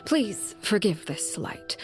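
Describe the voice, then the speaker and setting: An actress playing a composed, courteous commanding woman with a measured, dry, formal manner in a video game. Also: mid-range voice, very animated, soft background music. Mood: calm, courteous.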